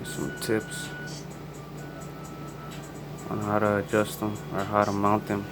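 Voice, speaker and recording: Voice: deep voice, monotone, slow, poor audio. A person narrating an unboxing in a casual, laid-back, informal way. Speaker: unboxing video host. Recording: loud music behind the voice.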